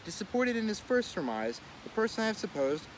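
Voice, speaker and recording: A man reading an old political essay aloud in a measured, oratorical way, now and then stumbling and correcting himself. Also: sits high, very animated, rough recording. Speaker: reader of a daily series. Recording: clear street and vehicle noise.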